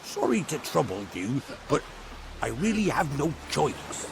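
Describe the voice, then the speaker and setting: A desperate, courteous merchant from a fantasy game. His high voice is animated and creaky. He speaks formally and earnestly, with clear ambient noise around him. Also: a little slow, heavily acted. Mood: desperate, pleading. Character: desperate, polite shipwrecked merchant man facing bankruptcy. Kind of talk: video game voice acting.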